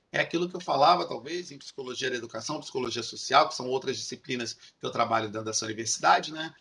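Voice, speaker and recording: Carioca male lecturer, bright and husky, explanatory, repetitive lists, tag questions. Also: fairly high pitch. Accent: Carioca Portuguese. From Rio de Janeiro, Brazil. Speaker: university lecturer. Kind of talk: recorded online lecture.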